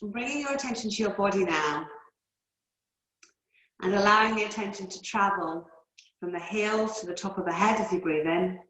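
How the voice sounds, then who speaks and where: A woman yoga teacher leading guided relaxation and breathing in a calm, soothing, unhurried way with long pauses. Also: mid-range voice. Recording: roomy, echoey indoor sound.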